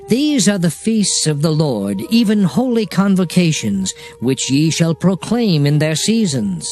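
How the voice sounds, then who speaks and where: A man's voice: on the high side, darkish tone. A man playing a solemn divine lawgiver, reciting sacred law formally in a dramatized scripture reading. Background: music.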